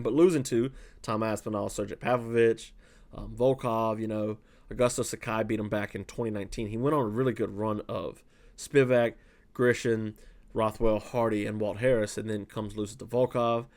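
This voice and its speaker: A man's voice: medium pitch, gravelly. A man from South Louisiana hosting a casual fight breakdown, chatty and rambling, with lots of fillers and self-corrections, speaking close to a desk mic. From South Louisiana, USA. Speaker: fight analyst host.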